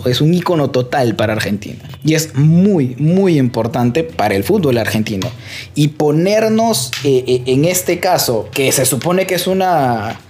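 Chatty higher-voiced man explaining news. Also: a little mellow. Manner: explains at length, chatty, playful asides. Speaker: co-host. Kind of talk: casual podcast chat about football news.

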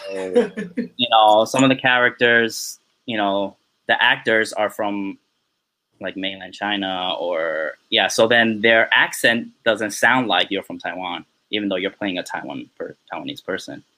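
Bright-voiced man in rambling chat. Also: fairly low pitch, measured pace, low-quality recording. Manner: rambling, full of "you know" and "um" fillers. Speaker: podcast guest, film assistant director. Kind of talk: casual interview chat.

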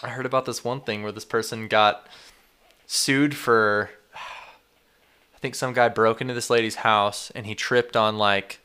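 A man's voice: lowish voice, fairly even intonation, a little slow, pushed and bright, clean tone. A young man from Texas in casual chat, laid-back, swearing often and saying "dude" and "like".

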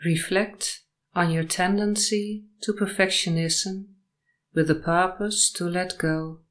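A woman guiding a sleep meditation and hypnosis session in short, measured, soothing phrases with long pauses between them. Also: on the low side, fairly even intonation.

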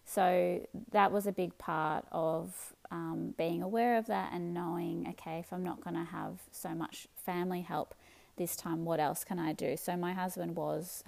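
An Australian woman in her thirties hosting a solo podcast, chatting in a relaxed way with many ums and restarts. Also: lowish voice, creaky. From Melbourne, Australia. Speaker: podcast host. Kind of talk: casual solo podcast chat.

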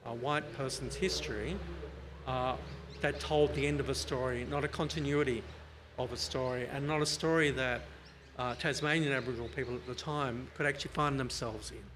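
Man, panel guest, measured and halting, middle pitch, light background hiss. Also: fairly even intonation. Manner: measured, halting, many fillers. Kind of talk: panel discussion.

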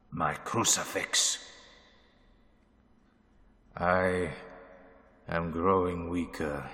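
A man's voice: low voice, sharp tone, full character voice. A man playing a fearful ship captain reading his log alone in a radio drama. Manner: slow, solemn log reading. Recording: long hall reverb.

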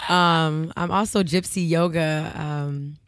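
A young woman with a Chicago accent, a yoga instructor chatting as a guest on a podcast, bubbly and quick to laugh. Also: low-pitched, steady tone, dry recording, clean studio sound. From Chicago, USA. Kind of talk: casual podcast interview.

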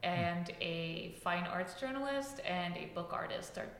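Loud, talkative woman with a gravelly low voice. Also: expressive, measured pace, reverberant, a little hiss or hum. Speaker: podcast guest, author. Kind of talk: casual interview chat.

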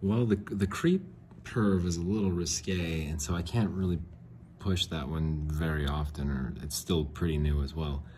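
A man hosting a casual solo podcast, rambling and self-mocking, clearing his throat often and answering his own questions. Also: very deep voice, fairly even intonation, normal speed, hardly any pauses, darkish tone, slight background noise. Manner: frequent throat clearing and restarts. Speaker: podcast host.

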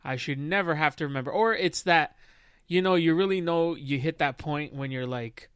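A man in his thirties co-hosts a tech podcast, chatty and quick to joke. His voice is smooth and clear, a little high for a man. It sounds dry and close to the mic. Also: dry recording. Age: 30s. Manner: chatty, joking, animated. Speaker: podcast co-host. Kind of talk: casual tech podcast chat.

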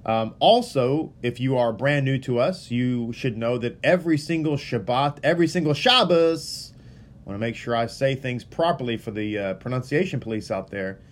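A rabbi from Texas with a drawl greets his online congregation in a loose, unscripted way. He is earnest and rambling, restarting sentences and repeating words as he goes. His voice sits at an ordinary middle pitch for a man. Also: normal speed. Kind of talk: casual livestream welcome before a Torah reading.

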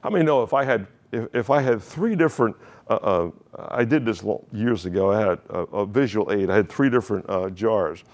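A man's voice: on the low side, a little fast. A male pastor preaching a sermon in a conversational way, asking the congregation questions and often restarting his phrases.

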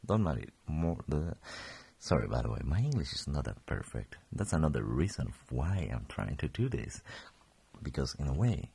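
Gravelly deep-voiced young man, just woken. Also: big pitch swings, moderate pace, mellow. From Colombia. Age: 20s. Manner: rambling, self-correcting, chuckling. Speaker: podcast host. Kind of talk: casual solo podcast.

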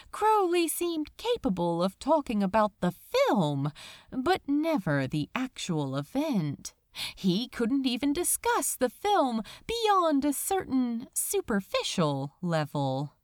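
Slow, animated woman storyteller, dry close sound. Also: a little high, no echo. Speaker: fan fiction reader. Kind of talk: expressive audiobook-style narration.

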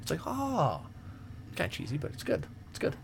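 A man co-hosting a podcast in casual banter, musing haltingly and repeating short phrases. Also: fairly high pitch, huge pitch swings. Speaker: podcast co-host. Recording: clear background noise and room ambience.

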